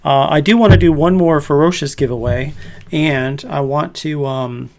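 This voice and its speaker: A man's voice: a little high, fairly even intonation, moderate pace, a little mellow. A man in his fifties hosting a casual livestream chat, rambling and laughing often. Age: 50s. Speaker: host.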